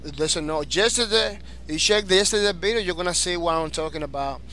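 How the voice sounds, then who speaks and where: A man's voice: high-pitched, brisk, pushed and bright, slight background noise. A man from the Dominican Republic with a Spanish accent, a game streamer chatting energetically with viewers and restarting his phrases often. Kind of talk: live-stream chat, reading viewer comments.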